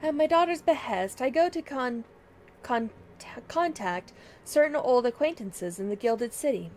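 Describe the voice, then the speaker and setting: A woman's voice: high-pitched, lively intonation, measured pace, darkish tone, slight background noise. A woman livestreamer reading fantasy game dialogue aloud in a dramatic way, with game music behind her.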